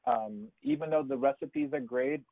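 A man from Chicago, a chef guest on a radio show, speaking in a measured way with some fillers. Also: fairly high pitch, animated, gravelly, slightly rough, dry recording. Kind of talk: casual radio interview. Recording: tinny phone line, dry.

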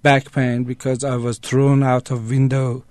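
A man's voice: medium pitch, level tone, unhurried, a little mellow, no room sound. Man in a dry studio. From Iran. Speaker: radio guest. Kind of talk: conversational personal account.

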